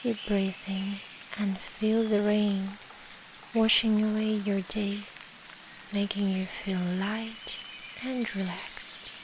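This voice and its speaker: Slow, soothing woman guiding meditation over rain. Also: mid-range voice. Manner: soft, soothing, measured with long pauses. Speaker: meditation guide. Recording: steady rain noise behind the voice. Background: rain and nature ambience.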